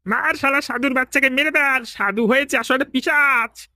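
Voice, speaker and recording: An angry, merciless villager from a horror cartoon. The voice is extremely high, shrill and piercingly sharp, with an aggressive delivery. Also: female voice, moderate pace, dry recording, studio quality. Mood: enraged.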